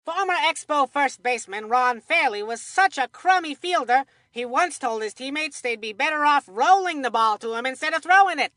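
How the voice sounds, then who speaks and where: Casting: chatty child sportscaster in a cartoon video game, bright high voice, upbeat trivia-buff delivery, dry studio sound. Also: female voice, expressive, moderate pace, few pauses, no echo. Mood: upbeat, informative. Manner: chatty, folksy. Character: chatty kid baseball commentator, cheerful trivia buff. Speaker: sports commentator. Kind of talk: scripted game commentary, baseball trivia.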